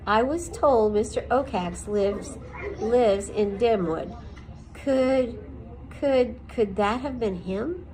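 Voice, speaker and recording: A woman's voice: a little high, takes it slowly, warm tone, rough recording. A woman reading aloud and voicing a timid young female mouse who hesitates and stammers.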